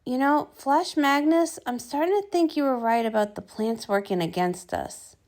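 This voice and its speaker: A woman's voice: high-pitched, normal speed, a little mellow. A woman doing a theatrical read-along of a comic, switching between character voices.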